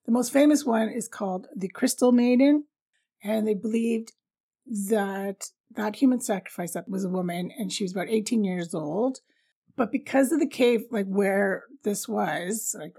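A middle-aged woman with a raspy voice hosting a travel podcast in an informal, upbeat, jokey, chatty way. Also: medium pitch, warm tone. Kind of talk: chatty solo podcast storytelling.

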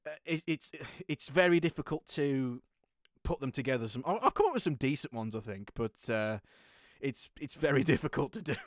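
Casual podcast host, laughs a lot, trips over words, mid-pitched, dry sound. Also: fairly quick, no room sound. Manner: laughs often, stumbles and repeats words. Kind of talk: casual chat.

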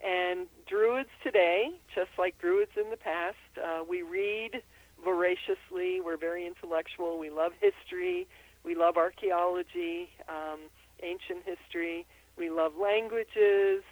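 Woman, 60s, from Austria, radio interview guest, thoughtful, many ums, soft laughs. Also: lowish voice, dry recording, low-quality recording. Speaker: guest, druid and herbalist. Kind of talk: interview over the phone.

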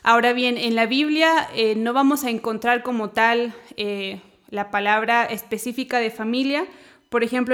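A woman's voice: on the high side, fairly bright tone. A woman from Chile with a mixed Chilean and Mexican Spanish accent, a podcast guest patiently explaining Bible terms and laughing easily. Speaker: guest, theology teacher.

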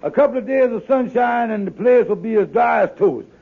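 An actor playing a wheedling, fast-talking husband in a 1950s radio sitcom, with folksy, exaggerated dialect and a coaxing manner. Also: male voice, extremely high pitch, lively intonation, vocal fry, husky, heavily acted. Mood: coaxing, reassuring. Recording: tinny, narrow-band old radio broadcast.

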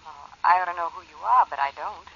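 Guarded secretary, husky, coy, telephone effect, dull old radio recording. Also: female voice, on the low side, fast, bright, forceful tone, full character voice. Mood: wary, coy. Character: cool, wary, coy woman secretary on telephone. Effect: telephone filter. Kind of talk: 1940s radio acting.

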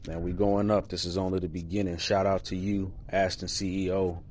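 A man's voice: low voice, flat delivery, brisk, darkish tone, slight background noise. A man giving a hyped, celebratory customer testimonial.

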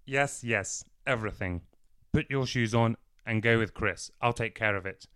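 A man voicing a neat, composed man who gives crisp, commanding orders. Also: on the low side, normal speed, a bit bright, dry recording, clean studio sound, close to an everyday voice.

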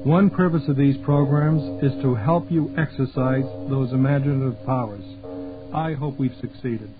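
A man's voice: mid-range voice, moderate pace, phone-quality sound. Male radio host over music, thin sound. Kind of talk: scripted sign-off narration. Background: clear music.

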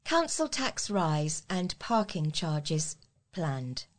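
A woman reads local news from a script. Her voice sits fairly low, and she delivers each item as a volunteer newsreader would. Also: sing-song, very slow. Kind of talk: scripted local news reading for a talking newspaper.

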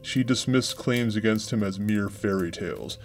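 A man with a low voice reads a true-crime script as a podcast host. His delivery is measured and somber, and he keeps a steady narrating pace. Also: faint music behind. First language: English.

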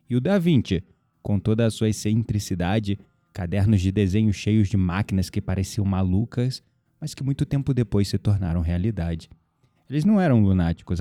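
A man with a Brazilian Portuguese accent playing a calm, philosophical young shopkeeper who flatters a customer in an audio drama. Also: on the low side, dry recording. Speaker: incense shop clerk.

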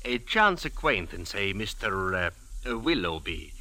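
An actor playing a polite, long-winded, inquisitive tourist, with hesitant, ingratiating formal manners, in a 1940s radio drama. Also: male voice, low-pitched, sing-song, a little slow, pushed and bright, heavily acted.

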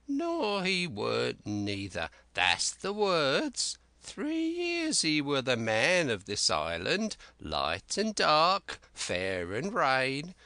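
A man reading an audiobook and voicing a rambling, excitable old castaway who speaks in rustic dialect. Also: on the high side, very slow, few pauses, dry recording, pitched above the performer's own voice, exaggerated voice. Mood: confiding. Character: wild-eyed old male castaway, rambling and eager.

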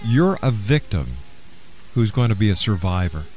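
A man hosting a radio talk show, speaking in a deliberate, earnest way. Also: on the low side, very animated, faint music behind. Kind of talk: radio interview and show announcements.

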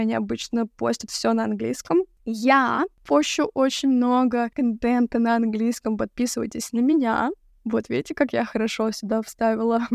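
Chatty young woman with gravelly fry. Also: on the high side, darkish tone, dry recording, clean studio sound. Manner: chatty, mixes English words into speech. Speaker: English teacher and podcast host. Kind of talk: casual advice podcast talk.

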